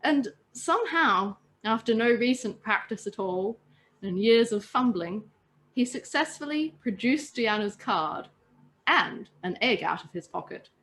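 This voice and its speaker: A woman's voice: on the high side, lively intonation, measured pace, fairly bright tone. Woman reading a story aloud. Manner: narrating with occasional stumbles and self-corrections. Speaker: storyteller and podcast host.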